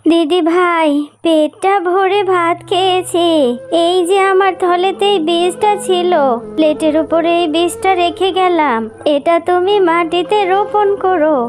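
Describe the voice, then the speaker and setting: A gentle old beggar fox granny from a cartoon , acted by a woman, with a very high voice. She is weary and plaintive, coaxing kindly over faint music. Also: fairly even intonation, a little slow. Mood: kindly, reassuring. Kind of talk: Bengali children's cartoon voice acting.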